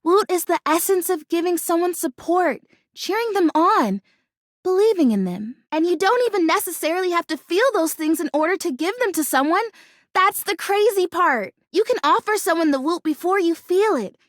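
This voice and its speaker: Game role: an earnest, optimistic young female houseplant, extremely high voice, lively intonation, gushing and chatty. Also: normal speed, dry recording, clean studio sound. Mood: earnest, eager. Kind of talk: animated video game character acting.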